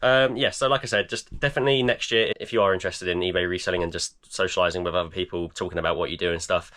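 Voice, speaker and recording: A man in his thirties, croaky and tired, chatting casually in a vlog with lots of fillers. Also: deep voice, fairly even intonation, rapid-fire, a bit bright. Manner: tired, rambling with many fillers. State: croaky, voice almost gone. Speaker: eBay reseller vlogger. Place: home garage.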